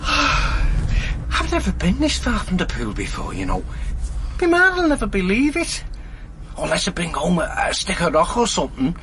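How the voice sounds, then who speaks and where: An actor in a radio drama playing a naive working-class detective's sidekick, talking colloquially and hesitantly. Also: male voice, high-pitched, huge pitch swings, moderate pace, long pauses, sharp tone, a little hiss or hum, heavily acted. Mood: curious, chatty. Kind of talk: BBC radio drama acting.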